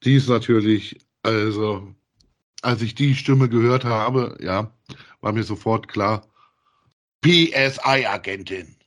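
Low-pitched man, halting casual podcast chat. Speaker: podcast co-host. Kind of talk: casual review chat about audio dramas.

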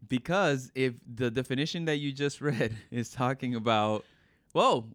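A man's voice: medium pitch, a little slow, no room sound. A man from the United States co-hosting a podcast, easygoing and questioning, often laughing as he talks. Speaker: podcast co-host. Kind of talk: casual conversational podcast.

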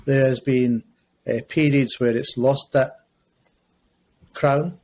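A man in his fifties, a longtime educator, speaking as a podcast guest in a measured, reflective way with frequent hesitations. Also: mid-range voice, steady tone, a little mellow. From Scotland. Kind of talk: conversational podcast interview.

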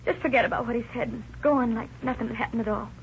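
A wary, anxious young wife. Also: female voice, a little high, fairly even intonation, very fast, creaky. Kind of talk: 1930s radio drama acting. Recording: dull lo-fi old radio recording with faint hiss.

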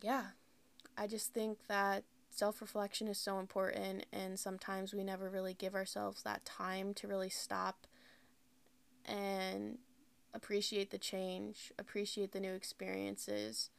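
A woman's voice: mid-range voice, gravelly, clear, resonant tone. A young woman of about twenty hosting her own podcast, talking candidly in a rambling, casual way with lots of "like" fillers. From United States. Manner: candid, rambling, heavy use of "like". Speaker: podcast host. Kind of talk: casual solo podcast talk.

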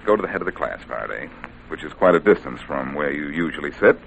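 A man's voice: rumbling low, expressive, fast, gravelly, a bit bright, heavily acted. An American man playing a cocky, wisecracking amateur detective with a breezy, sardonic delivery in a 1940s-style radio drama. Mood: needling. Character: Boston Blackie, cocky wisecracking amateur sleuth, quick to needle police. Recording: noisy old radio broadcast recording.

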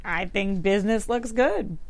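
A woman's voice: mid-range voice, measured pace, vocal fry. An actress playing a guarded, wry woman newscaster in a screenplay table read. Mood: dry, wry.

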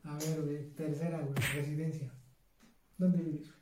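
A man's voice: on the high side, little pitch movement, moderate pace. A nineteen-year-old man with a Mexican accent, co-hosting a casual chat, joking and peppering his talk with slang. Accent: Mexican Spanish. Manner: joking, slangy, says güey constantly. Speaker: co-host. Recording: echoey room with clear background noise.

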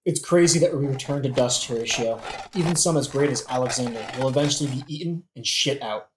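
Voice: medium pitch, a little fast, close to an everyday voice. An actor in a satirical audio play playing an exasperated, brooding young prince who talks in a casual, sweary, dramatic way. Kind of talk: satirical modern-language audio play acting. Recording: echoey room with faint noise.

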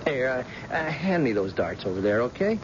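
A dull, noisy old radio recording of a man acting a blind ex-cop, proud and self-mocking. He talks in a folksy, halting way, his pitch moving freely as in classic radio drama. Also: very animated, lightly acted. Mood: breezy, playful. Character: blind ex-police husband, proud, jovial, self-deprecating tough guy.

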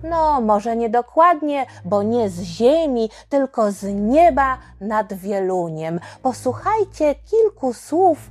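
A woman's voice: a little high, very animated, takes it slowly, hardly any pauses. A woman narrating history for children as a podcast host, explaining things and addressing listeners directly.

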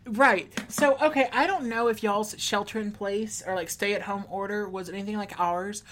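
A woman's voice: mid-range voice, fairly even intonation, normal speed, fairly bright tone, slight background noise. A woman co-hosting a podcast, chatting casually with wry, sarcastic quips. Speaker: podcast co-host. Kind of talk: casual chat.

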